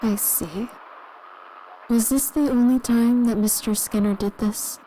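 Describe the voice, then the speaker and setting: An actress playing a calm, measured female interrogator who gently probes with questions in an audio drama. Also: a little high, lightly acted, rough recording. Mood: pressing. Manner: measured, controlled, gently probing. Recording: clearly audible background noise.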